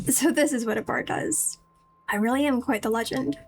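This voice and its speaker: High-voiced woman chatting over a game. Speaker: live streamer, VTuber. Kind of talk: casual game stream commentary.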